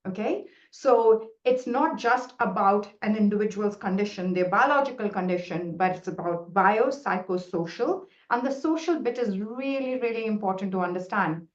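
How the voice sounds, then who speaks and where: A woman's voice: medium pitch, moderate pace, hardly any pauses. A middle-aged woman whose first language is Hindi, a researcher answering questions in a webinar in an earnest, explanatory way with frequent restarts. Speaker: researcher presenting findings. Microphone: video call. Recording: roomy, muffled video call audio.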